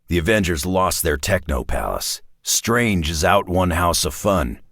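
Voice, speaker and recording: A battle-worn older warrior from a video game, tough and wry. His voice sits extremely low and is thick with gravel and rasp. He talks in clipped, sardonic phrases that swing up and down, close and dry. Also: lively intonation, a little slow, fairly bright tone, no room sound, heavily acted. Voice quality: gravelly, raspy. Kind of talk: video game character voice lines.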